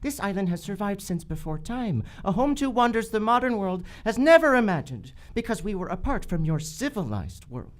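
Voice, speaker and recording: A woman's voice: mid-range voice, very animated, moderate pace, hardly any pauses, close to an everyday voice. A woman playing a solemn, menacing jungle priest in a radio adventure, speaking in formal, grandiose phrases. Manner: formal, grandiose, refers to self in third person. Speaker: actor in live radio theater. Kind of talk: melodramatic radio adventure acting. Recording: live stage recording with faint music.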